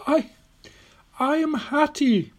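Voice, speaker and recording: A narrator voicing a little old Victorian woman in an audiobook, insistent, tender and delighted, with drawn-out phrases. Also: medium pitch, sing-song, mellow, voice pushed up. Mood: patient. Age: older. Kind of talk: audiobook character voice.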